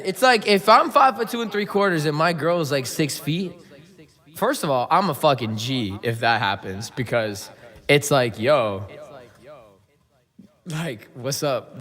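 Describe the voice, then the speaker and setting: A man's voice: medium pitch, fairly bright tone. A young man hosting a casual crypto trading livestream, joking with chat and rambling, his voice a little worn. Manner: joking, rambling, breaks into singing. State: slightly lost voice. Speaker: livestream host, crypto trader.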